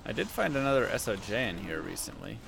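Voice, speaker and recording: A man with a low, gravelly voice, chatting easily with his viewers and muttering under his breath as he plays. He sounds relaxed and offhand. There is a clear hiss of noise on the stream audio. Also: quick pace. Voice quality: deep voice. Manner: relaxed, muttering to himself and chat while playing. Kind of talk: casual gameplay commentary.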